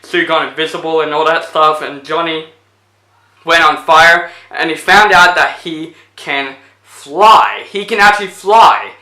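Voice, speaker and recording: A man's voice: a little high, measured pace, echoey room. Young man rambling through a movie retelling. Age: young adult. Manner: rambling, animated retelling with lots of fillers. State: voice getting tired. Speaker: movie reviewer. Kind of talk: casual video review. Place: indoors in front of a plain blue backdrop.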